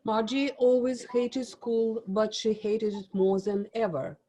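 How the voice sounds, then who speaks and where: A woman's voice: a little high, fairly even intonation, unhurried, mellow, low-quality recording. A woman, an English teacher, reading narration steadily. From Russia.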